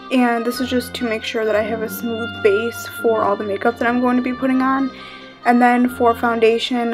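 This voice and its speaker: A young woman in her twenties casually talking through a makeup tutorial with frequent fillers. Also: on the high side. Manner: casual, chatty, relaxed with frequent "um" and "like". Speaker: makeup vlogger. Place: living room with red couch.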